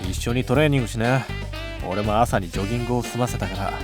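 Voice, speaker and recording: A man voicing a brash, sporty, popular teenage schoolboy with rough, slangy boyish speech in an anime-style voice drama. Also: mid-range voice, fast, over music, close to an everyday voice. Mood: casual, grumbling.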